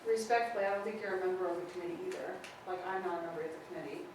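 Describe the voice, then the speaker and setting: A woman giving polite, measured, formal remarks as a liaison at a public meeting. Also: fairly low pitch, darkish tone. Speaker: committee liaison. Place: indoor public meeting room. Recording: distant mic, very echoey noisy meeting room.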